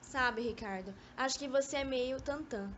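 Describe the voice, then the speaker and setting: A woman's voice: high-pitched, moderate pace, fairly bright tone. A young woman acting a haughty, bored, reluctant former lover in an amateur radio drama, with a Brazilian accent and petulant delivery. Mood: mocking. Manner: petulant, disdainful. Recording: dull, noisy amateur recording.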